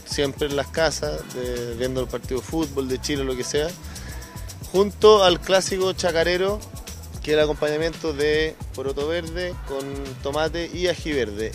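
Man explaining a sandwich, music clear behind. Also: fairly high pitch, moderate pace. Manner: explains with rhetorical questions. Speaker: food stall owner. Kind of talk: interview answer. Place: outdoors at a food fair stall. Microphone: handheld mic.

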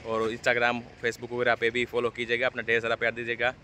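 A man's voice: medium pitch, completely flat delivery, fairly quick, bright, forceful tone. A man in his thirties chatting casually as he shows a flight and an airport, filming with a phone at arm's length. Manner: chatty, informal, guiding the viewer. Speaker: travel vlogger. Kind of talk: casual travel vlog narration. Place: inside a small plane, then outdoors at an airport. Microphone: phone camera at arm's length. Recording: noisy with engine and outdoor noise.

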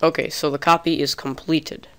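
A man's voice: fairly high pitch, flat delivery, normal speed, pushed and bright, a little hiss or hum. A young man talking through a gaming tutorial in a casual, chatty way, a little under the weather. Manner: casual, chatty, restarts often. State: sick, slightly off voice. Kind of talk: screen-recorded tutorial.

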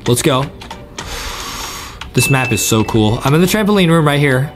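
A young man hosting a gaming video with excited, repetitive commentary, talking straight to his viewers. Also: mid-range voice, moderate pace. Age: young adult. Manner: animated, repetitive, addressing viewers. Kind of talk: excited let's-play commentary. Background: game music.

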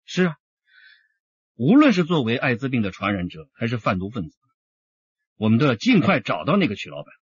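A man's voice: medium pitch, wildly swooping pitch, fairly quick, pauses often, slightly rough, dry recording, lo-fi sound. A man playing a probing, assured police detective in a radio drama, questioning and emphatic. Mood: persuasive, urgent.

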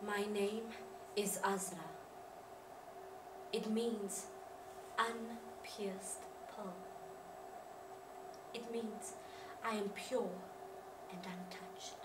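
An actress playing a wronged, anguished young woman who speaks after her own killing, in a solemn monologue of deliberate, halting phrases. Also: a little high, pitched below the performer's own voice. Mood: solemn, hushed. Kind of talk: solemn dramatic stage monologue. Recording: roomy, distant mic, faint noise.

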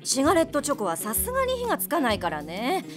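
An actress playing a brash, flashy snack-bar mama who talks bossily and sharp-tongued, in an audio drama with music behind. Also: female voice, high-pitched, wildly swooping pitch, fast talker. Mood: breezy.

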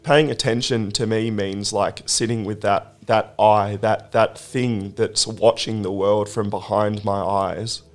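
A hoarse man who has lost his voice, hosting a recovery podcast and musing in a halting, reflective way. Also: lowish voice, fairly even intonation, faint music behind. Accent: British. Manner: halting, with many restarts. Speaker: podcast host. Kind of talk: reflective casual chat.

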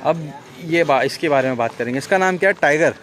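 A man's voice: fairly high pitch, fairly quick, fairly bright tone, slight background noise. Chatty man touring a goat farm at night. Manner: chatty, prompting with frequent hmm and haan. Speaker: vlogger interviewing a goat farm owner. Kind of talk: casual on-camera interview tour.

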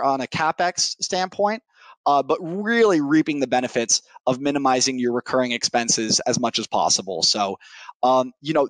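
A man's voice: a little high, fairly bright tone, dry recording, poor audio. A man in his thirties giving business answers as an interview guest, speaking into a headset on a video call. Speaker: technical sales executive, podcast guest. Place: home living room, indoors, on a video call. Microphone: headset mic.

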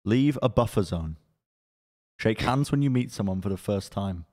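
A man's voice: fairly low pitch, lively intonation, moderate pace, a little mellow. A man co-hosting a casual podcast, reading phrasebook lines and fumbling foreign phrases between laughs, at a desk mic in a studio room. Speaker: podcast co-host. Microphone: desk microphone.

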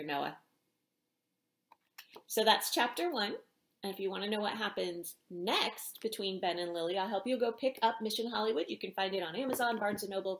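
A middle-aged woman, an author reading aloud in an expressive storytelling style in a small indoor room. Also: mid-range voice, a little hiss or hum. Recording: roomy home echo.

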